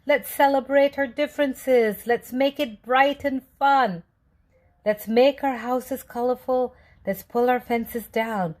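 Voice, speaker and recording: A woman's voice: sits high, steady tone, takes it slowly, a little mellow, pitched above the performer's own voice. A woman voicing a hopeful, kindly chimpanzee traveler from a storybook, speaking in a rousing, emphatic way. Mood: rousing, upbeat. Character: hopeful, kindly male chimpanzee traveler rallying his town.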